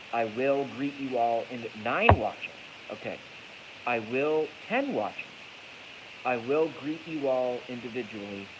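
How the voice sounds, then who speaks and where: Congested man livestreaming over noisy hiss. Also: medium pitch. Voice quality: congested, stuffy nose. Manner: blunt, profane, repeats phrases for emphasis. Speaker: livestream host. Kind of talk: casual rambling livestream monologue. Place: echoey garage at night. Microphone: iPhone, moved closer during the stream. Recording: hissy noisy iPhone recording.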